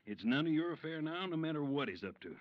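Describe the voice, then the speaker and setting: An actor playing a folksy, resigned insurance agent in a 1950s radio drama, with a drawling, hesitant manner. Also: male voice, on the high side, very animated, quick pace. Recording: dull, noisy old radio recording.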